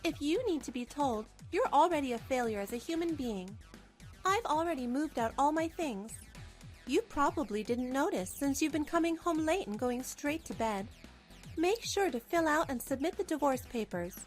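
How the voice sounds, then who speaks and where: A woman acts out a betrayed young wife in a manga drama, her voice very high. She is cold, scornful and righteously angry, biting into each accusation. Soft music sits behind her. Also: lively intonation, normal speed. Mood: cold contempt. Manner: cutting, accusatory. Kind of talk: dubbed manga drama acting.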